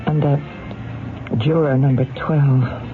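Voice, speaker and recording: A woman's voice: very deep voice, fairly even intonation, takes it slowly, over music. An actress in a 1970s radio drama playing an anxious, sleepless woman haunted during a trial, speaking in a nervous, hesitant way. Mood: uneasy, evasive. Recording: muffled, phone-like old radio broadcast.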